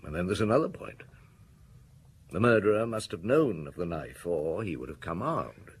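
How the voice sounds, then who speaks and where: A middle-aged police chief inspector, with a very deep, husky voice. He is weary and understated, telling his case in measured, reflective tones. It is radio drama narration and dialogue. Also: big pitch swings, heavily acted.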